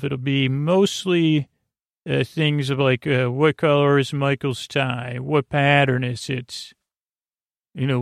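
Creaky-voiced man rambling soothingly. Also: mid-range voice, dry recording, studio quality. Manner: meandering, halting, with false starts and tangents. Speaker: host of a bedtime sleep podcast. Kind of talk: rambling, soothing bedtime monologue.

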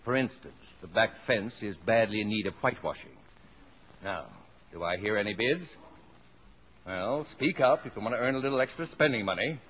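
A man's voice: on the low side, animated, moderate pace, a bit bright. An American actor in a mid-century radio drama, playing a jovial, middle-aged, blustery father with an exclamatory, mock-formal way of talking. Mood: brisk, mock-formal. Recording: noisy old radio broadcast recording.

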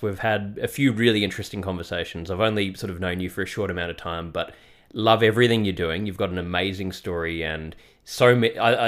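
A man's voice: deep voice, a bit bright. A man hosting a podcast, moving between a prepared introduction and casual interview talk. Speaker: podcast host.

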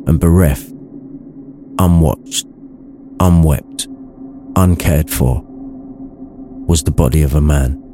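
A man's voice: low voice, dark tone, slight background noise. A man narrating a story in an irreverent comic style, with wry, sweary asides. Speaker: narrator, podcast reader.

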